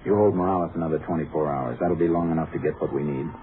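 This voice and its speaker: An actor playing a shrewd, matter-of-fact Texas Ranger detective in a clipped 1950s radio drama style. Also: male voice, a bass voice, fast, a little hiss or hum. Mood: urgent, sure. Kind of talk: 1950s radio drama acting, narration and dialogue. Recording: muffled 1950s radio recording, music.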